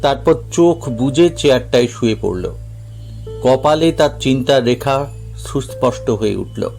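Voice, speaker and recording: A man's voice: medium pitch, expressive, unhurried, vocal fry, darkish tone. A man reading a scripted story as a narrator, with dramatic storytelling delivery. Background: background music.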